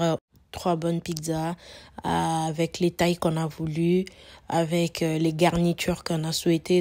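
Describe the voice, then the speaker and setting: A woman hosting a home cooking tutorial, hoarse from a cold, chatty and prone to repeating herself with frequent fillers. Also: on the low side, a little mellow. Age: adult mother. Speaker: cooking channel host. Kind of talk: casual cooking tutorial narration.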